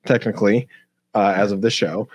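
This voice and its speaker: A man's voice: lowish voice, fairly even intonation, moderate pace, no echo, dull audio. A man co-hosting a casual podcast, talking informally with stop-start, repeated phrases. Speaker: podcast co-host.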